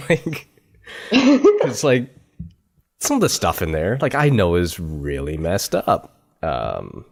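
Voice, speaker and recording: A man hosting a podcast interview, relaxed and self-deprecating, hesitating with fillers. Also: deep voice. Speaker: podcast host. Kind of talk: casual interview chat.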